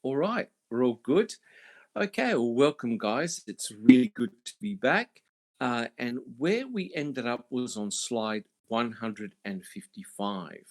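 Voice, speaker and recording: A man hosting a casual panel podcast, speaking haltingly with many fillers. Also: mid-range voice, big pitch swings. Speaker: podcast host.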